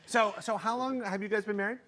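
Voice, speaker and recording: A man's voice: sits high, steady tone, fast, a bit bright. An American man hosting a cooking show with casual banter, effusive and joking, often repeating his phrases.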